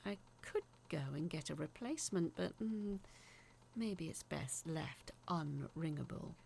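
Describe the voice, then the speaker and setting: A woman telling a spooky first-person story in a dramatic, uneasy, confiding way, sometimes dropping to a hush. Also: deep voice, expressive, a little slow, vocal fry, a little hiss or hum. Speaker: narrator of a horror audio story.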